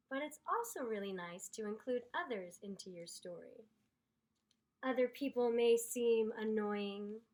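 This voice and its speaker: A woman reading aloud to children in an expressive storytelling style, with deliberate, dramatic emphasis. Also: on the high side. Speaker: storybook reader.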